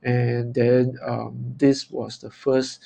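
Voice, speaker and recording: A man from Singapore with a Singaporean accent gives informal commentary as a trader, in a halting, repetitive, stop-start way. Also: mid-range voice, little pitch movement, creaky, dark tone. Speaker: forex trader presenting market analysis. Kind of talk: informal live chart commentary.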